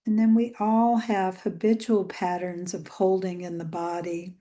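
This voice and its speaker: A woman leading a guided meditation in a gentle, soothing way, with soft phrase endings that trail into breath. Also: on the low side, level tone. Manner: gentle, soothing, unhurried with long pauses.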